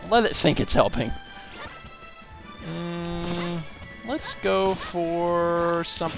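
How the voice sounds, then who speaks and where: A man's voice: high voice, very slow, creaky, rough recording. A young man casually commenting on a co-op game as a let's play host, upbeat and chatty, thinking aloud while he plays. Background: game music and sound effects.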